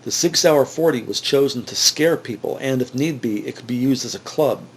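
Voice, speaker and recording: A man narrating a scripted crime drama in a measured, matter-of-fact way. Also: medium pitch, a little hiss or hum, poor audio. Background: music.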